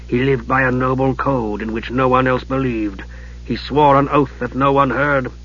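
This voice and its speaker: A man voicing a frail, sardonic old archmage in a soft, low voice that drops to whispers and a slow, cutting delivery, on a dull lo-fi recording.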